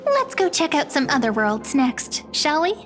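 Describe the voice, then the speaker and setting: A woman's voice: high-pitched, sing-song, moderate pace. An actress playing a friendly young woman who guides and explains in a chatty, helpful way in a video game cutscene. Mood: friendly, explanatory.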